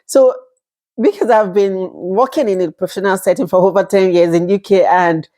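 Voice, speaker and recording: A woman's voice: medium pitch, normal speed, warm tone. A woman in her thirties from Nigeria with a Nigerian accent, talking casually to camera, chatty, laughing often and acting out little quoted exchanges. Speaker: vlogger.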